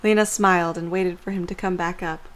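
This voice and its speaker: A woman serves as narrator of a story. Her pitch is on the low side for a woman. The delivery is scripted storytelling, read as prose. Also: fairly even intonation, fairly quick.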